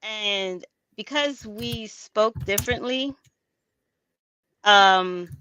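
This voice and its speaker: A woman's voice: medium pitch, drawn-out words, sharp tone, slight background noise, low-quality recording. Chatty woman with frequent laughs. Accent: American, with Trinidadian lilt at times. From raised in America by Trinidadian parents. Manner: chatty, laughs often, many restarts. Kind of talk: casual conversation.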